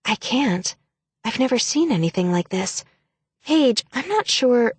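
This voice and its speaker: A woman voicing a sweet young mermaid girl who talks in a gentle, soothing way. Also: on the high side, big pitch swings, unhurried, breathy edge, dry recording, pitched above the performer's own voice, exaggerated voice. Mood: uneasy.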